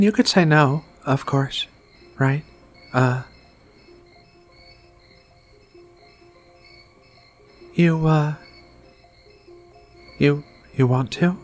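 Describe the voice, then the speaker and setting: A woman playing a shy, flirty, affectionate alien in a soft-spoken, hesitant ASMR roleplay. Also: low-pitched, full character voice. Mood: bashful, flustered. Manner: hesitant, bashful, murmuring with many pauses. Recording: quiet music behind the voice.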